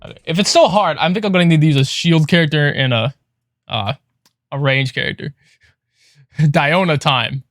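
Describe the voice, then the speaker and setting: A man's voice: fairly high pitch, moderate pace, pauses often, fairly bright tone, dry recording, studio quality. A man livestreaming a video game with casual, foul-mouthed, exasperated commentary. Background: video game music.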